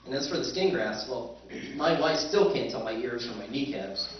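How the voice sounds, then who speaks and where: A man's voice: medium pitch, animated, moderate pace, a little hiss or hum, close to an everyday voice. A man narrating and playing a wry male surveyor, telling the story with dry humor in a live radio drama recreation. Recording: echoey hall, far mic, muffled, music.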